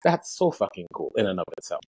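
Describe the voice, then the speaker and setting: A man's voice: sits low, sing-song, quick pace, warm tone, slightly rough. A man from Haiti with a Haitian accent, a stand-up comedian talking casually and earnestly about his craft, with the odd curse word. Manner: reflective, earnest, swears casually. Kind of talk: casual conversation.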